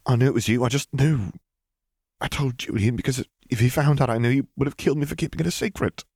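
A man voicing a young witch in an audiobook, sharp-tongued and fearful, with urgent, emphatic delivery. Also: mid-range voice, fast, breathy edge, dry recording, clean studio sound, voice pushed up. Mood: fearful, earnest.

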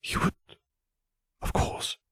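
An affectionate young man in a storybook, read by a male narrator. He speaks softly and reassuringly, placating whoever he talks to, in a very dry recording. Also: low voice, animated, fairly quick, creaky, slightly rough, no echo, full character voice. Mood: tender. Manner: soft, reassuring.

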